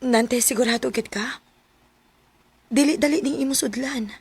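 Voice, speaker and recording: A caring single mother, played by a woman, with a Cebuano Filipino accent and a fairly high voice. She is hesitant and questioning, in radio drama style. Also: normal speed, slight background noise, lightly acted. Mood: serious, hesitant. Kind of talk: Philippine radio drama acting.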